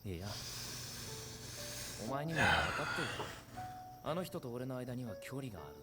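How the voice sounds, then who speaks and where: A man's voice: mid-range voice, sing-song, fast, close to an everyday voice. An actor playing an earnest, brooding young working man in an anime. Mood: reluctant. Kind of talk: Japanese anime voice acting. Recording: music behind the dialogue.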